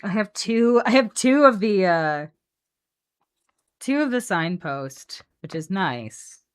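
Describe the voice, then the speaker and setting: A woman chatting casually on a live game stream, playful and animated as she thinks out loud. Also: medium pitch, takes it slowly, clear, resonant tone. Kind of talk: casual live-stream chat while building a card deck.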